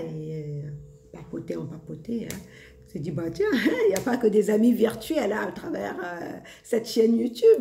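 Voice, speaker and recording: A woman's voice: medium pitch, darkish tone, echoey room. A woman vlogger talking casually and reflectively to her viewers, hesitant with many fillers and frequent laughs. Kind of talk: casual spiritual monologue.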